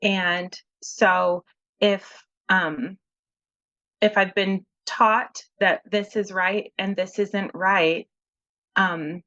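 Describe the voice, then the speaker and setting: A woman's voice: medium pitch, a bit bright, muffled recording. A woman speaking hesitantly and reflectively, searching for words over a video call. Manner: searching, hesitant, many fillers. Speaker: conversation participant. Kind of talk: personal reflective talk.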